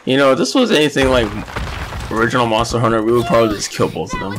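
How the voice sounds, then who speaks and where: A man's voice: medium pitch, lively intonation, very fast, noisy background. A man hosting a let's play, giving casual gameplay commentary and reading game text aloud.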